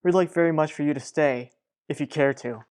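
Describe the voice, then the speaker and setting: Very low-voiced, measured man acted by a woman. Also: a little mellow, natural voice. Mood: reassuring. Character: frank, measured family friend, male, reassuring and serious. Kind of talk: soap opera radio drama acting.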